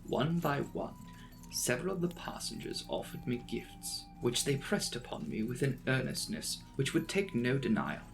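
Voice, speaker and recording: A man reads a classic novel aloud in dramatic style. His mid-pitched voice swoops expressively and often drops into a gravelly creak, with soft music underneath. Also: big pitch swings, moderate pace, rough recording. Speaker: audiobook narrator.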